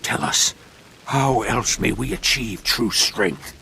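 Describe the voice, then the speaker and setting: A man's voice: medium pitch, takes it slowly, pushed and bright, slight background noise, low-quality recording. A man playing a fanatical, grandiose leader of murderous escaped slaves in a video game. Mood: wounded devotion. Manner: grandiose, solemn preaching. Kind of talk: dramatic video game voice acting.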